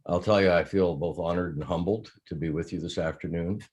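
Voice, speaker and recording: An older Canadian man, an advisor and editor, speaking in a measured way on a panel. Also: low voice, fairly even intonation, darkish tone. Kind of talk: panel introduction.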